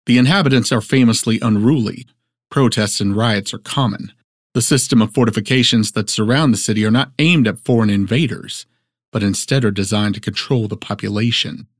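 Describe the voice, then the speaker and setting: A man playing a formal, measured narrator who sets out a fictional city's history in an audio drama. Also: fairly low pitch, no echo, studio quality, natural voice. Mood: measured, factual.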